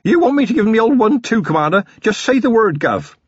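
A man voicing an eager young male constable who talks bluntly in rough working-class speech, raised high. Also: big pitch swings, fast talker, no room sound, muffled recording, exaggerated voice. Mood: zealous.

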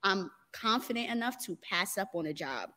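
A woman's voice: mid-range voice, expressive, normal speed, bright, forceful tone. A young adult woman, a dancer and model, talking candidly as a guest in a casual interview, often laughing and using "y'all" and "like.".

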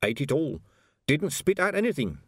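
A man's voice: on the high side, very animated, moderate pace, breathy edge, no echo, studio quality, pitched above the performer's own voice. A man voicing a plain-spoken street pie seller in an audiobook. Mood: reminiscing. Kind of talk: audiobook character voice.

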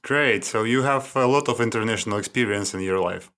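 Male podcast host, lower pitch, halting phrasing, interviewer. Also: fairly bright tone.

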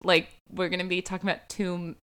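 A woman's voice: fairly low pitch, monotone, quick pace, fairly bright tone, smooth. A young woman hosting a true-crime podcast, telling a case in a casual chatty way, full of "like" and "um" fillers. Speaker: podcast host.

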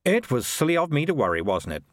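A man's voice: a little high, very animated, fast, no room sound, studio quality, pitched above the performer's own voice. Morris, a sardonic grumbling tomcat, voiced by a man. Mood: wry, grumbling. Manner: wry, grumbling, sarcastic. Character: Morris, a cynical, sardonic streetwise male tomcat.

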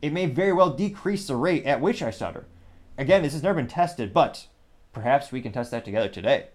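A man's voice: medium pitch, expressive. A man hosting his own commentary show, rambling and correcting himself as he goes, recorded on a small USB microphone. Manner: rambling, self-correcting, mentions stuttering and speaking fast. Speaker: show host.

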